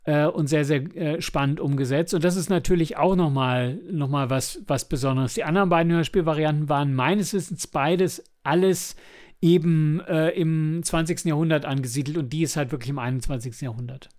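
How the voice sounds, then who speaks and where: A man's voice: a little high, brisk, a little mellow. A man co-hosting a podcast, discussing and reviewing in a casual, rambling way as he searches for words. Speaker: podcast co-host and audio drama maker.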